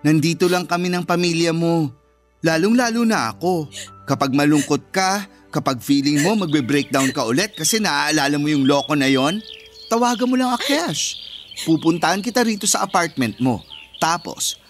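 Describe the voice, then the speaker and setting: A man's voice: on the high side, lightly acted. A man with a Filipino accent acting a sassy, fiercely loyal cousin in a radio drama, slangy and emphatic.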